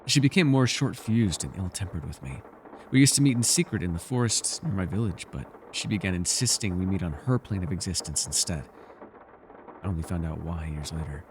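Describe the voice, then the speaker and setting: Man voicing mysterious ancient male elf, deep-pitched, wistful, softly teasing, measured storyteller, ASMR fantasy roleplay, some background noise. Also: lively intonation, rough recording. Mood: somber, confiding. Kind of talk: ASMR character roleplay, intimate scripted monologue.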